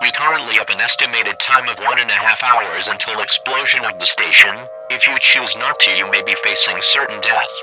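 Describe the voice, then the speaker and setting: A man reading a scripted emergency broadcast announcement in a formal, measured, impersonal synthetic voice. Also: fairly low pitch, music in the background, rough recording.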